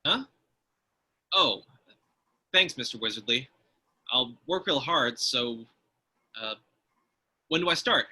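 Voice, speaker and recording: A man's voice: mid-range voice, expressive, slow, long pauses, pushed and bright, husky, full character voice. A man playing a naive, hesitant young job seeker at a screenplay table read. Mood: hesitant, unsure.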